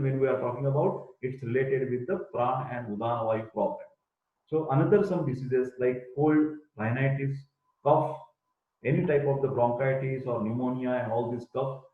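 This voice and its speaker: Older man, Indian accent, emphatic lecture, Sanskrit recitation, roomy echo, narrow tinny sound. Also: mid-range voice. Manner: emphatic, repeats key terms, chants Sanskrit verses. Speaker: Ayurveda professor. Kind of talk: recorded lecture. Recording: echoey room, tinny phone-like sound.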